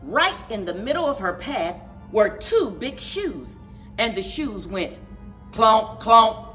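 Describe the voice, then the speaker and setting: A woman reading aloud as a library story time host, with expressive, dramatic, deliberate children's narration and playful sound words. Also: fairly high pitch, faint music behind.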